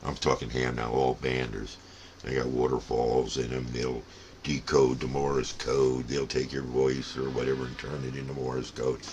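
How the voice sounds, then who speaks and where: A man's voice: very deep voice, flat delivery, moderate pace, a little mellow, a little hiss or hum. An older man giving a casual, rambling, folksy explanation as a plain-spoken hobbyist host. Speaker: radio hobbyist and channel host.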